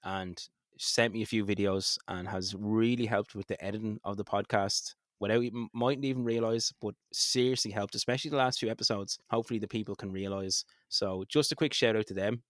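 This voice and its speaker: Low-voiced man chatting on a podcast. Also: fairly even intonation, a little fast, no echo, clean studio sound. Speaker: podcast co-host. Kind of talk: casual podcast chat.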